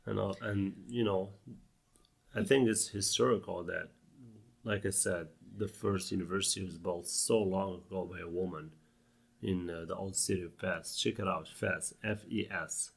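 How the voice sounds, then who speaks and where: Deep, gravelly Moroccan-accented man. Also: steady tone. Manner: halting, searching for words. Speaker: podcast co-host. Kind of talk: casual chat.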